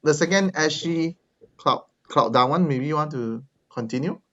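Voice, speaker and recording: A man's voice: on the high side, animated, moderate pace, very noisy. A man hosts an online meetup over a video call, working through the attendee list. He talks casually and hesitantly, full of fillers and restarts. The audio is dull and lo-fi. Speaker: meetup host.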